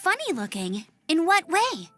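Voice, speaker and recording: A woman voicing a perky, determined young knight scout in a video game, upbeat and brisk. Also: very high-pitched, huge pitch swings, a bit bright, faint music behind, full character voice. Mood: inquisitive.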